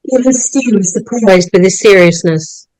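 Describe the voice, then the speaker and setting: A woman's voice: medium pitch, moderate pace, darkish tone, dry recording, poor audio. A woman narrator reading stage directions aloud at a dramatic script table read.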